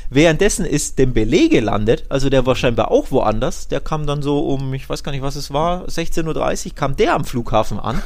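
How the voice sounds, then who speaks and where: A man's voice: medium pitch, expressive, a little fast, vocal fry, few pauses, a little mellow. A man co-hosting a football podcast, recounting news in a colloquial chatty way with English slang sprinkled in.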